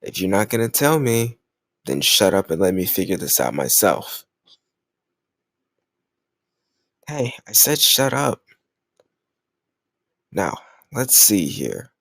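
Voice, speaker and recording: Man playing a cocky, gravelly flirt. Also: deep voice, sing-song, a bit bright, no echo, studio quality, heavily acted. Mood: needling, insistent. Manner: teasing, cajoling, smug, drawn-out words. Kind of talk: intimate romantic audio roleplay.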